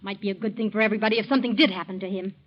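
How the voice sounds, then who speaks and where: A woman's voice: mid-range voice, steady tone, racing, background noise, lightly acted. A woman playing a scheming, cold-blooded young wife in a 1940s radio drama, insistent and urging. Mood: calculating. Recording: muffled 1940s radio recording.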